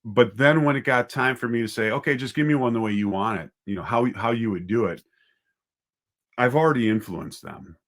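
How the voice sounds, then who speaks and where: A man's voice: medium pitch, a little fast, a bit bright. A man speaking casually as an interview guest, a writer and director thinking aloud in a hesitant, conversational way.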